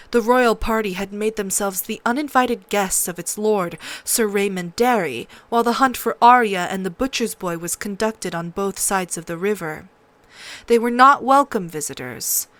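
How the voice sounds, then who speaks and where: A woman's voice: mid-range voice, fairly bright tone, dry recording. A woman reading scripted fiction as an audiobook narrator, in a dramatic storytelling style.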